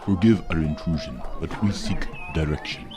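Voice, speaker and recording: A man as a solemn wise traveler, very deep. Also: expressive, normal speed, over music. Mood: earnest. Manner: formal, courteous.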